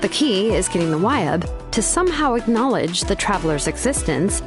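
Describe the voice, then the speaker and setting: A woman's voice: mid-range voice, big pitch swings, normal speed, over music, natural voice. An actress playing a capable young craftswoman in a video game, casual and matter-of-fact with a grumbling, sighing edge. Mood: resolved, thoughtful. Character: capable young craftswoman, laid-back, grumbling but dependable. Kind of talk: video game character dialogue.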